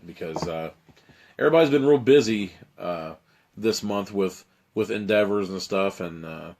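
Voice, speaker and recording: Streamer host, male, lowish and raspy; dramatic reading mixed with rambling chat. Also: normal speed, a little mellow. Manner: dramatic narration, then loose rambling chat. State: raspy, strained voice. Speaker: host reading a choose-your-own-adventure book. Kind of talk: live-stream storytelling and chat.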